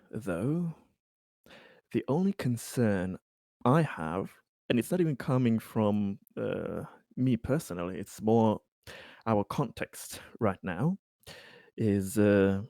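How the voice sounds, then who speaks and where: Guy doing a cagey diplomatic company admin for a roleplay game, dark mellow voice, slow and hesitant. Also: on the low side, no room sound, studio quality. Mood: cautious. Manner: halting, deliberate, hedging with pauses. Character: guarded, diplomatic male corporate colony administrator, wary schemer. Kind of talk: improvised in-character roleplay dialogue.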